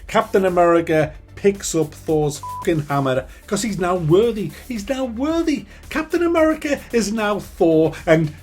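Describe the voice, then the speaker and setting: A man's voice: sits high, animated, unhurried, few pauses, faint music behind. A man giving a ranting film review, sarcastic and full of swearing and stammered restarts.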